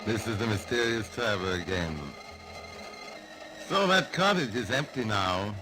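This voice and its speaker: Low and deep, with music behind him, an actor plays a mysterious traveler hosting an old radio mystery. He is genial and wry, with a sinister edge. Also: animated, moderate pace, close to an everyday voice, low-quality recording. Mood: genial menace. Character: sinister, genial mysterious train traveler who tells strange tales. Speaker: storyteller host. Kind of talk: 1940s radio mystery narration.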